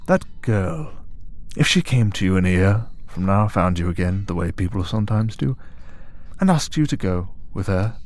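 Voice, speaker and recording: A man playing a smug, tempting servant of a dark master in an ASMR audio drama, speaking in a deliberate, taunting, persuasive way. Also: on the low side. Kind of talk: ASMR audio roleplay acting.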